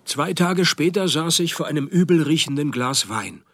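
Deadpan detective, slightly high-pitched. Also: male voice, normal speed, no echo. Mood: wry, deadpan. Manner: deadpan, dry wit. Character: laconic, sardonic male private detective. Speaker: narrator and lead. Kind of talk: radio play narration and dialogue.

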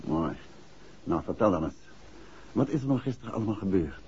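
Caring detective husband, very husky voice, lively swooping intonation, vintage radio drama, muffled old recording. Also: male voice, low voice, brisk, full character voice. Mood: tender, relieved. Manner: affectionate, calls his wife pet names. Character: worried, tender husband and amateur detective, urgent and caring. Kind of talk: 1960s Dutch radio play acting.

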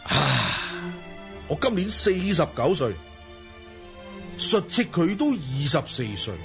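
An actor playing a proud middle-aged Mongol ruler in a Cantonese radio drama, stately and emphatic. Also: male voice, a little high, very animated, takes it slowly, creaky, fairly bright tone, soft background music, full character voice. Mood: wistful, tender.

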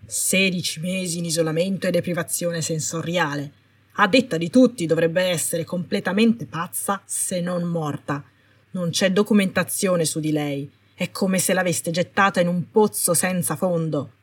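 Woman playing a stern, low, husky-voiced healer. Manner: accusing, clipped, commanding. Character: stern, indignant healer in authority, cold and threatening. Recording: roomy, distant microphone.

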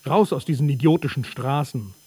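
A man reading letters aloud in a radio production, plain and steady. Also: medium pitch, brisk, slightly rough, no room sound. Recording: dry studio.

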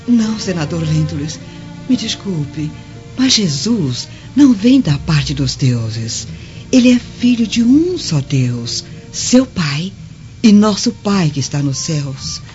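A woman's voice: lowish voice, sing-song, takes it slowly, darkish tone. A humble, pious maidservant in a radio drama. She speaks reverently and deferentially with a Brazilian accent, on a dull recording with some music behind.

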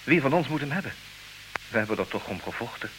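An actor playing an intelligent, possessive, ironic husband in an old radio play, speaking earnestly in theatrical diction. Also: male voice, medium pitch, lively intonation, fast talker, close to an everyday voice. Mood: tentative, hopeful. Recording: hissy old radio recording, clear noise.

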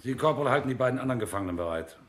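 An actor playing a menacing, commanding secret police captain in a radio drama, a sneering bully with a sharp manner. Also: male voice, mid-range voice, animated, rapid-fire, a little hiss or hum, close to an everyday voice. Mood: brisk, commanding.

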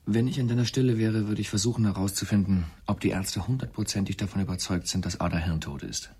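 An actor playing a cool, frank male friend in a radio drama, with a gravelly, husky voice and a measured manner. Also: fairly low pitch, darkish tone. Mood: probing, frank. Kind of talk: German radio drama acting.

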